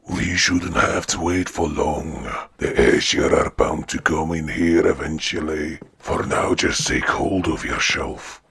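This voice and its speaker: A man playing a bloodthirsty, vengeful giant warrior in a fantasy audio drama, with a deep, raspy growl. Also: fairly bright tone, heavily acted.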